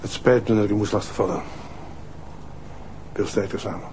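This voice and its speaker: A man playing a calm dry police detective. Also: fairly low pitch, noisy background, natural voice. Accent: Dutch. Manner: calm, measured questioning.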